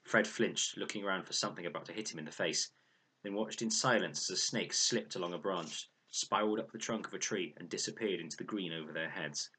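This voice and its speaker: A man reading a children's novel aloud as an expressive storyteller. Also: low voice, a little fast, husky, poor audio. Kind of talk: expressive children's audiobook narration.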